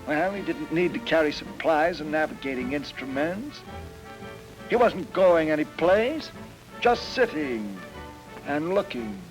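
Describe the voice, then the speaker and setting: High-pitched, pompous 1940s comic lecturer. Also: male voice, poor audio. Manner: pompous, wry. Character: wry, showy male lecturer, playfully pompous. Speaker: comic second narrator of a training film. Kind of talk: 1940s comic film commentary. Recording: 1940s film soundtrack over music.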